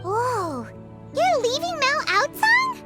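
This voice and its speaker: A woman voicing a small, chatty, excitable fairy companion with a childlike, cheeky manner in a video game. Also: very high-pitched, slow pace, exaggerated voice. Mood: shocked. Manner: refers to self in third person, exclamatory. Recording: background music.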